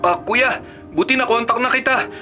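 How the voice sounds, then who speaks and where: An actor playing an earnest, troubled younger brother in a Filipino radio drama. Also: male voice, very high-pitched, normal speed. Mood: troubled, weary. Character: earnest younger brother reporting flood disaster. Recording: music behind the dialogue.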